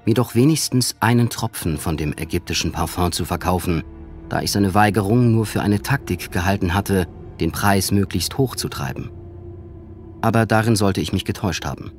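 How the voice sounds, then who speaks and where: An actor playing an educated gentleman, narrating and speaking formally in an eerie audio drama. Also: fairly low pitch, close to an everyday voice. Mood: composed, dismissive. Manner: measured, formal, literary. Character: educated gentleman recounting an eerie past adventure. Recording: music under the voice.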